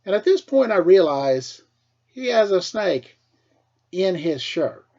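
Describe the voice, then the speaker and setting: A middle-aged American man, a teacher with a husky voice, tells casual personal stories for children in an animated way, acting things out as he goes. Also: high voice, takes it slowly, lo-fi sound. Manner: animated, acts out dialogue and sound effects. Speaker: school teacher telling stories to pupils.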